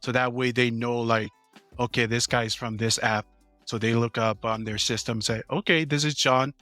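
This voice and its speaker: A man's voice: mid-range voice, no room sound, soft background music. Easygoing man from American Samoa. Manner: relaxed, halting, many fillers. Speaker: business owner guest. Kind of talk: casual interview answers.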